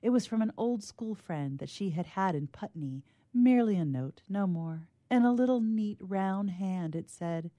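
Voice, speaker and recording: A woman's voice: medium pitch, lively intonation, moderate pace, hardly any pauses, mellow, no echo. A woman telling stories on a radio show, both reading aloud and chatting in a friendly way. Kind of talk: storybook reading and friendly chat.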